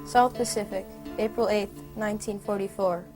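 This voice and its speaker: A teenage girl reading an old letter aloud in an even, steady way. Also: medium pitch, normal speed, over loud music. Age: teens. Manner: even, read-aloud delivery. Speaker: student filmmaker.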